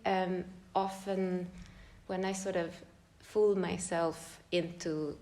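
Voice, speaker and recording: A woman's voice: on the low side, flat delivery, takes it slowly, darkish tone. A woman from Sweden with a Swedish accent, a choreographer chatting as a podcast guest, thoughtful and searching for words with frequent laughter. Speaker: guest choreographer and dance teacher. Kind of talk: casual podcast conversation. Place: large room.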